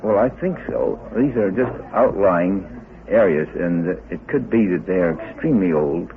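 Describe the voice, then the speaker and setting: Male expert interviewee, very low voice, emphatic and deliberate, lo-fi sound, crowd behind. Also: gravelly. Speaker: interviewed researcher with a doctorate. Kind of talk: unscripted interview answers. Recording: muffled lo-fi tape, busy crowd behind.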